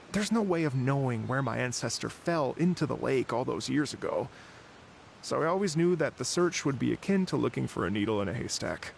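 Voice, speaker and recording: A man's voice: medium pitch, creaky, slight background noise. An actor playing an earnest, wistful middle-aged traveler in a video game, telling his story theatrically and politely.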